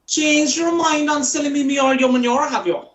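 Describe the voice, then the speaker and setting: A woman's voice: high-pitched, steady tone, moderate pace, dull audio, close to an everyday voice. A woman with an Irish accent playing a cocky, roguish male golfer in an amateur radio play. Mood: flustered, blustering. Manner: blustering, chatty, theatrical. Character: cocky, roguish male golf club man, storyteller.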